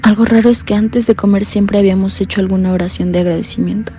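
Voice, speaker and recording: A woman's voice: steady tone, fast talker, warm tone, a little hiss or hum, natural voice. A woman playing an uneasy blind young caller. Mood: anxious. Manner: low, earnest, uneasy confiding. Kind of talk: radio drama acting.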